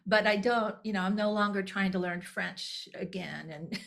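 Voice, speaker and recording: A woman in her sixties is a guest on a conversational podcast. Her voice has a gravelly, creaky texture at a middle pitch. She speaks candidly and reflectively, restarting sentences as she thinks and laughing lightly now and then. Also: a little slow, fairly bright tone. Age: 60s. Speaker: guest, nonprofit executive director.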